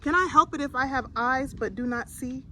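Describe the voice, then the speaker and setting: A woman's voice: high voice, normal speed, noisy background, voice pushed up, natural voice. A woman voicing an earnest, pleading young boy who is eager to help, in a storybook. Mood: frustrated.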